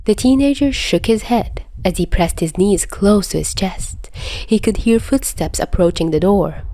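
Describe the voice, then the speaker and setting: A woman's voice: mid-range voice, dark tone, no echo. A woman reading a scripted story as the narrator of an amateur audio fiction recording. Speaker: podfic narrator.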